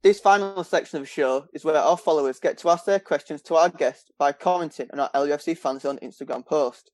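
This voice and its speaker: A man's voice: on the high side, fairly quick, a little mellow, slight background noise. A man hosting a fan podcast, steadily reading listener questions from a script. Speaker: podcast host.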